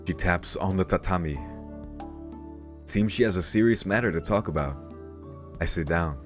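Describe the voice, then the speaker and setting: A man with a low voice reads a visual novel aloud as a let's play host. His delivery is measured, like storytelling, and game music plays behind him. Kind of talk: reading visual novel narration aloud with brief comments.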